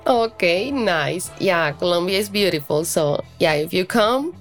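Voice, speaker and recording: A woman's voice: fairly low pitch, animated, a little slow, faint music behind. A woman hosting a podcast interview in a conversational style. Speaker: podcast host.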